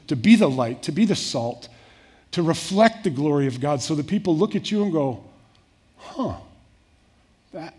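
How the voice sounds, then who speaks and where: An older man preaching a sermon in an earnest way, rising to emphatic peaks and then dropping soft. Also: fairly high pitch, husky. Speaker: pastor.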